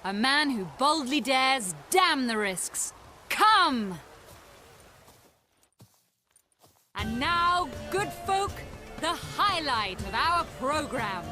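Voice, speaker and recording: An actress playing a shrewd, imperious circus performer in a video game, speaking in a crisp, theatrical way. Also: female voice, high voice, sing-song, slow pace, bright, forceful tone, heavily acted. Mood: grand announcing. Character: shrewd, commanding female circus performer and troupe leader. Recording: music behind the voice. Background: music.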